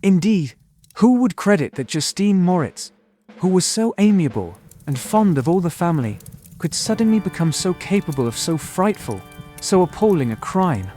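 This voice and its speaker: An actor playing a distressed young brother in a dramatized audiobook, earnest and formal in manner. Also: male voice, high voice, sing-song, normal speed, mellow, faint music behind.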